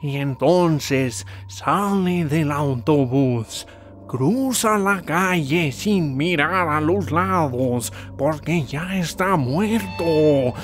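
A man voicing a sardonic cartoon squid who tells spooky tales in a drawn-out, ominous way. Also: on the high side, a little hiss or hum, pitched above the performer's own voice. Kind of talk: cartoon dub.

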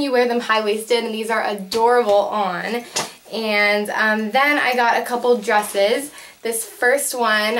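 A woman's voice: on the high side, fairly bright tone. A young woman in her teens or early twenties talking to camera in a casual, chatty vlog style, with lots of informal filler words like "like" and "you know". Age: teens to young adult. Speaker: vlogger. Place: small bedroom, indoors.

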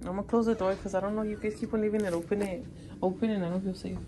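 A woman's voice: mid-range voice, steady tone, racing, background noise. A young woman in her twenties vlogging in a hotel, chatting casually, swearing and laughing, dropping in Spanish phrases. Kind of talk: casual chat. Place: hotel room and hallways, indoors. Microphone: handheld phone camera.

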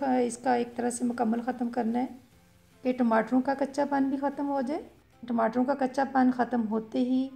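Gravelly-voiced woman explaining a recipe. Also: on the high side. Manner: calm step-by-step explaining with fillers. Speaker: cooking channel host. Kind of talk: voice-over cooking instruction.

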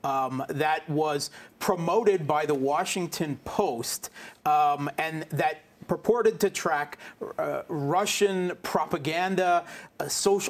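A man giving political commentary as a studio panel guest, scornful, with halting starts. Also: a little high.

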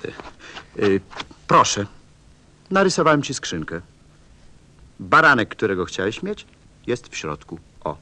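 Radio play: male actor as a patient, puzzled pilot, slow, musing delivery with expressive swings in a bass voice. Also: exaggerated voice. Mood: obliging. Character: stranded adult pilot, puzzled, patient and obliging.

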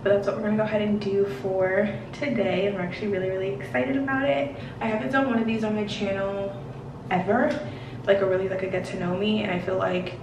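A woman of twenty-one chatting casually and rambling with many fillers while she gets ready in a bathroom, on a camera a few feet away. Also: mid-range voice. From Washington, D.C. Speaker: beauty vlogger. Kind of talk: casual get-ready-with-me chat. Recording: roomy bathroom echo, mic at a distance, noisy.

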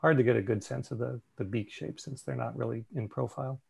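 A man's voice: medium pitch, fairly even intonation, fairly quick, creaky, a little mellow, husky. A man casually presenting a slideshow on a video call. He thinks aloud, with fillers and restarts. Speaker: meeting presenter.

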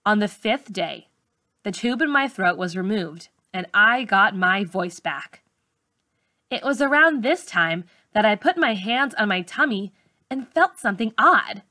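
A woman reading a memoir aloud like an audiobook narrator, with measured, clearly phrased delivery. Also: medium pitch, expressive, bright, forceful tone, dry recording, studio quality. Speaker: teacher reading a book aloud.

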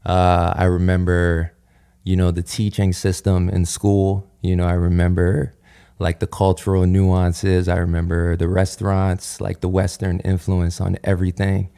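Deep gravelly-voiced young man, easygoing. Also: completely flat delivery, unhurried, dark tone. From Nigeria. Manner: thoughtful, easygoing, laughs often. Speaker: guest singer. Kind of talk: relaxed conversational interview.

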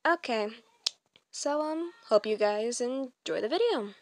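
A high-pitched woman talks casually as the host of a fan dub channel. Her manner is awkward and self-conscious, with informal wording, and the sound is close and dry. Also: animated, a little slow, dry recording. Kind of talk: casual, chatty video intro and outro.

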